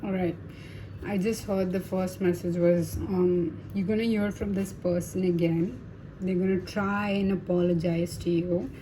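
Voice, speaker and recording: A woman's voice: fairly low pitch, fairly even intonation, a little slow, mellow, slight background noise, rough recording. A woman giving a casual tarot reading to viewers, talking in a chatty, reassuring way with many fillers and tag questions. Her first language is Hindi. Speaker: tarot reader.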